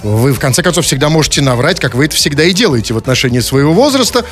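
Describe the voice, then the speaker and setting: A man's voice: on the high side, big pitch swings, rapid-fire. A man hosting a casual comic radio show, bantering in a mock-serious, digressive way with teasing rhetorical questions. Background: music.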